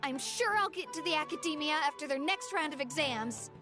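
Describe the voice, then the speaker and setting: Earnest young student, high voice. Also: female voice, expressive, brisk, over music. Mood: hopeful, enthusiastic. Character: earnest, hopeful young female student working at restaurant.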